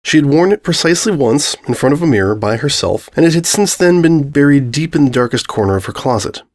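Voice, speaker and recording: A man's voice: on the high side, animated, quick pace, a little mellow. A man reading a scripted comic story aloud as its narrator.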